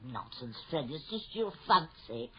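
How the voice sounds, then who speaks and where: An actress playing a middle-aged working-class wife and mother in an old-fashioned radio drama, homely, practical and gently chiding. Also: low voice, sing-song, a little slow, breathy edge, exaggerated voice. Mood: practical, brisk. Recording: dull, faintly noisy radio drama recording.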